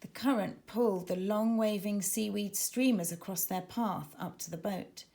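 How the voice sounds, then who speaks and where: A woman reading her children's book aloud as a dramatic, suspenseful and expressive narrator. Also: medium pitch, moderate pace, vocal fry. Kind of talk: expressive scripted story narration.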